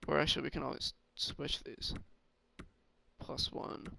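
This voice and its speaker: Raspy man thinking aloud over a game. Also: mid-range voice, pushed and bright, slight background noise. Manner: thinking aloud, halting with fillers. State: slightly hoarse. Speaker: gaming streamer. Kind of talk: casual game commentary.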